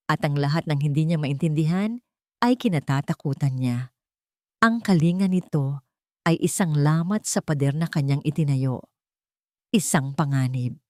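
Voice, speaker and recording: A woman's voice: sits low, a little mellow, no room sound, clean studio sound. A woman with a Filipino accent reading a scripted dramatic story as its narrator.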